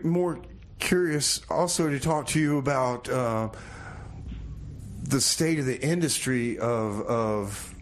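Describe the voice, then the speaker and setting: Halting man interviewer, mid-pitched voice. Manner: halting, repeats words while forming questions. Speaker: radio show interviewer. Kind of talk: casual, loose interview chat. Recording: clear background noise and ambience.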